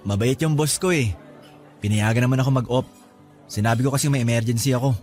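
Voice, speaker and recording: A man's voice: mid-range voice, fast talker, a little mellow, faint music behind, lightly acted. A man playing a nervous, evasive two-timing boyfriend in a radio drama, prone to stammering when flustered. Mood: casual, evasive. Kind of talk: Tagalog radio drama acting.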